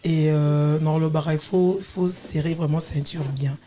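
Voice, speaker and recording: Halting woman co-host, mellow voice. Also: sits low, fairly even intonation, a little hiss or hum. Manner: halting, many restarts. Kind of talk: welcoming talk-show hosting.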